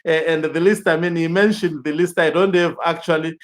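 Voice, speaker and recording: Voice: mid-range voice, steady tone, fairly quick. A news host puts long, winding questions to a guest. The host hesitates often, with fillers and restarts along the way.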